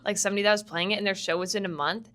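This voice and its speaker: A young woman from Vancouver talks easily as a podcast guest, her voice sitting at a middle pitch. She is chatty and laughs often, sprinkling "like" through her sentences in a loose, casual interview style. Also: steady tone, very fast, a bit bright. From Vancouver, Canada. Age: young adult. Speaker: podcast guest, musical theatre student.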